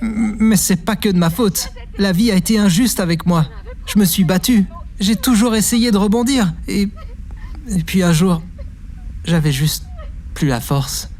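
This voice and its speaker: A man's voice: high-pitched, a little slow, a little mellow. A man playing a bewildered, defensive but good-hearted failure in a French audio drama, flustered and exclamatory. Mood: wounded, defensive.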